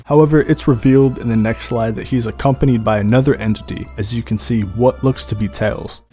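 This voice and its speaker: A man's voice: mid-range voice, steady tone, brisk, mellow. A man narrates as a video host, with music playing behind him. He tells it like a story and slips in casual, conversational asides as he comments on horror footage. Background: background music.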